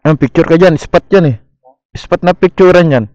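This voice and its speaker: A man with a Filipino accent talks in a relaxed, conversational motovlog style. His voice is a bit higher than most men's, and he chats casually as he rides. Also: normal speed, highs cut off. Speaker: motorcycle vlogger. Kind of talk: casual riding chat.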